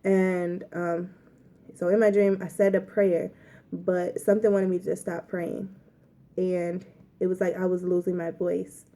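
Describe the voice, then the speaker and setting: A woman's voice: fairly low pitch, dark tone. A young woman vlogger casually telling a story to the camera, chatty and rambling with lots of filler words.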